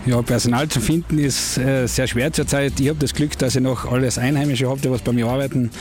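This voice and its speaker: Carinthian innkeeper, monotone and halting, interviewed at an outdoor event with music. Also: male voice, medium pitch. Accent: Carinthian Austrian German. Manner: easygoing, hesitant with fillers. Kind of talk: casual interview.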